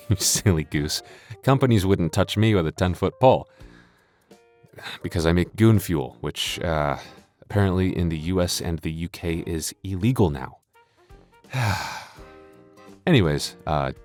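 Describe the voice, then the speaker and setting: A man's voice: low-pitched, expressive, unhurried, pauses often, darkish tone, soft background music. A man chatting casually and jokingly to his listeners as an audio creator, hesitant and self-deprecating. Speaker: audio roleplay creator and voice actor.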